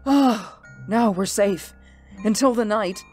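A woman's voice: mid-range voice, slow pace, a little mellow, faint music behind, lightly acted. A woman playing a terrified, frantic wife in a suspense radio drama, breathless and pleading. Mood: hushed dread. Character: terrified, frantic wife hiding from alien invaders.

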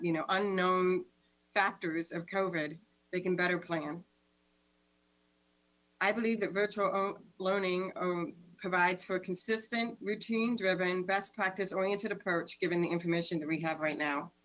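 A woman, giving an earnest, measured statement as a school board member over a video call from home. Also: fairly low pitch, a bit bright, rough recording. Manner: earnest, measured, reads from notes. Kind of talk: prepared statement at a board meeting. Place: home room, indoors.

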